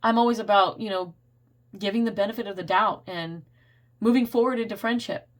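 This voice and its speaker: A woman giving a casual, rambling tarot reading, laughing often and losing her voice to a cold. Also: a little fast. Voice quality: getting squeaky. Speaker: tarot reader.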